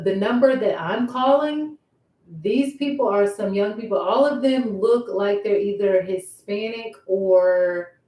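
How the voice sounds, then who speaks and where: A woman's voice: medium pitch, dark tone. A woman talking casually in a personal vlog monologue, circling back over her points and posing rhetorical questions. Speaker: vlogger. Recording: roomy echo, distant microphone.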